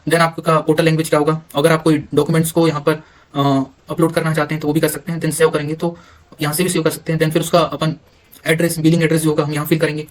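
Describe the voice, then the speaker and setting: An Indian man giving an informal software walkthrough, halting at times and mixing English terms into his speech. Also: a little high, monotone. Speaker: software demonstrator. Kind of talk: informal screen-recorded tutorial walkthrough.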